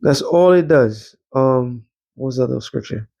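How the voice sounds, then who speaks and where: A man's voice: medium pitch, animated, normal speed, vocal fry, warm tone, dull audio. A man preaching in a blunt, slangy street style, swearing freely, reading scripture and breaking it down with rhetorical questions. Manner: rhetorical questions and repeated check-ins like a teacher. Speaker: preacher and show host. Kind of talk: informal street sermon with scripture reading and commentary.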